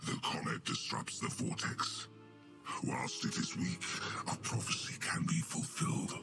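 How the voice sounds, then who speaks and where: An actor playing a menacing armored elven witch king in a game cutscene, with a gravelly rasp and a commanding, ominous manner. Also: a little slow, bright, forceful tone. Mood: cold command. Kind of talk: dark fantasy game cutscene acting. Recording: dramatic music behind the voice. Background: music.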